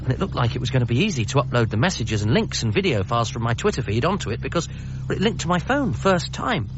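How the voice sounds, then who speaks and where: A man's voice: medium pitch, sing-song, fast, background noise, lightly acted. An actor playing a wry, earnest man who narrates his own story in a radio drama, conversational. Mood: wry, reflective. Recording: slightly muffled, music behind.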